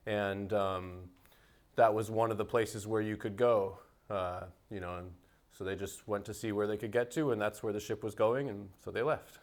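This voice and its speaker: A man raised in the United States answers interview questions in a thoughtful, unhurried way, with many fillers and restarts. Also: fairly low pitch, steady tone. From United States (Seattle). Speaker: interviewee, musician.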